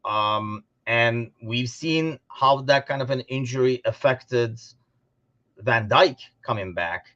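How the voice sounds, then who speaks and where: A man co-hosting a casual sports podcast, talking informally and thinking out loud as he discusses. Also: medium pitch, slow, dull audio. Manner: informal, conversational, thinking aloud. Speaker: podcast co-host.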